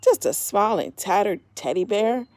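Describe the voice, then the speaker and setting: A woman's voice: medium pitch, animated, moderate pace, darkish tone, no echo, close to an everyday voice. A woman reading aloud, voicing a large man who grumbles in exasperation about hauling a big carton in his truck. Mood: grumbling. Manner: complaining, exasperated.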